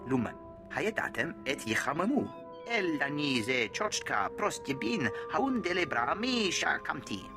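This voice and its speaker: A man's voice: a little high, sing-song, unhurried, music in the background. A man playing an emphatic alien commander in a video game, speaking expressively in an invented language. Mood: earnest.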